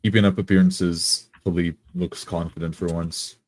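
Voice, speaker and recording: A man's voice: deep voice, little pitch movement, moderate pace, a little mellow. A man narrating his own character's actions as a player in a tabletop roleplay.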